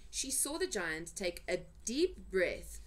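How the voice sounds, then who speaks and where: Woman reading a kids story out loud, really animated pitch, dramatic and suspenseful. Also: takes it slowly. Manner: dramatic, suspenseful delivery with emphasized words. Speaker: amateur storyteller reading for family. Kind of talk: expressive children's storybook narration.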